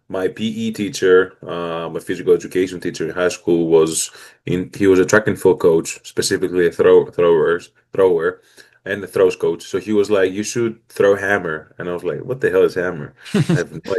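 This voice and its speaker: A man's voice: low voice, darkish tone. A man in his twenties from Greece with a Greek accent, an upbeat and earnest guest telling his story in a casual interview. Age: 20s. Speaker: guest athlete.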